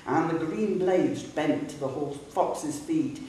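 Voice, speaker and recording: A woman giving a dramatic recital of narrative poetry in a hall, with measured, deliberate phrasing. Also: low voice, warm tone. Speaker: poetry reader. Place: public hall. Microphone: distant microphone. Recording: very echoey hall, distant mic, faint hiss.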